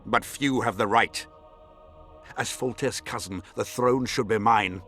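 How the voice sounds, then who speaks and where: A man's voice: fairly low pitch, measured pace, a bit bright, soft background music. A man playing a haughty, scornful nobleman in a fantasy video game, with imperious, formal diction. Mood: stern, haughty. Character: haughty scornful nobleman, baron vying for a throne. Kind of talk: dramatic fantasy game acting.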